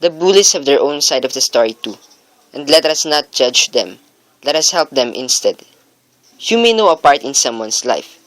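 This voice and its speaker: A man's voice: mid-range voice, very animated, moderate pace, a bit bright, no echo, poor audio. A man playing an earnest young son in a scripted radio drama monologue. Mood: earnest, urging. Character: earnest young son reading his farewell letter.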